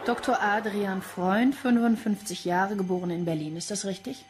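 A woman's voice: mid-range voice, fairly even intonation, moderate pace, over loud music, natural voice. A woman playing a sceptical, probing police interrogator with a clipped manner in a German crime radio play. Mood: stern, probing.

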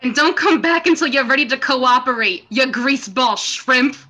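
A woman's voice: sits high, normal speed, bright, forceful tone. A young woman on a video call, dramatically reading the part of a smug, dismissive official. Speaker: amateur actor in a script reading. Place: small room at home, video call.

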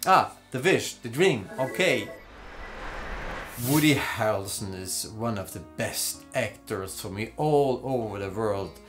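A man in his forties with a German accent, introducing himself as an actor. He speaks slowly and deliberately, in a playful, easygoing way. A light bed of music plays softly behind him. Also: fairly low pitch, animated. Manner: slow, deliberate, playful, with laughs. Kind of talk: self-presentation demo reel. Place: green screen studio.

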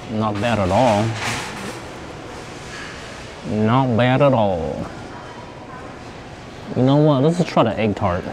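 A man chatting casually to his viewers as he shops in a grocery store, thinking out loud and repeating himself. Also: fairly low pitch, background noise. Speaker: vlogger. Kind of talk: casual vlog chat.

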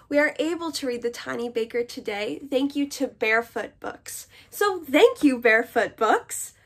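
A young woman hosts a children's storytime. Her voice is high and she takes her time, speaking slowly and encouragingly as if to very small children. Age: young adult. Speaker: library summer program coordinator and storytime host. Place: small indoor room.

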